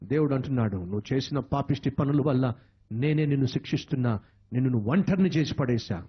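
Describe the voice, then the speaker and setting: A man preaching a sermon, emotional and repetitive, with dramatic swings in pitch. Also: animated, quick pace, slightly rough, dull audio.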